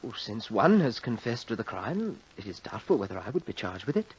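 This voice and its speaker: Young gentleman heir, calculating, polite, slightly husky, expressive radio-play delivery. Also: fairly low pitch, brisk, exaggerated voice. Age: young adult. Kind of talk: 1950s radio drama acting. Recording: muffled vintage radio recording.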